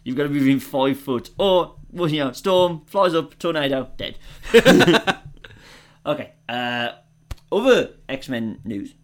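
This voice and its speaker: A man's voice: on the high side, animated, takes it slowly. Chatty opinionated man. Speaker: podcast co-host. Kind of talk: casual film chat.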